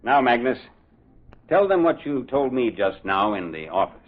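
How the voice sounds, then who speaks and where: No-nonsense police inspector in an old radio play, husky and bossy, short questions, tinny old recording. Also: male voice, mid-range voice, sing-song, moderate pace. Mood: interrogating. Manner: clipped, authoritative. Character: brusque, businesslike male police inspector. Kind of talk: old-time radio drama acting. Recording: muffled, narrow-band old radio recording.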